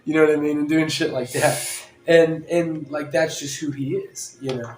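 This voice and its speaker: A man hosting a podcast in casual chat, rambling and joking with bursts of loud laughter. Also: on the high side, steady tone, moderate pace, slight background noise. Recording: roomy room with faint ambience.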